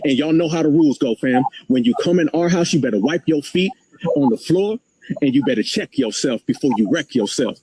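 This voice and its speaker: A man giving a fiery, defiant speech on a live stream, with emphatic rises in pitch. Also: lively intonation, a little fast, mellow, a little hiss or hum, low-quality recording. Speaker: guest speaker.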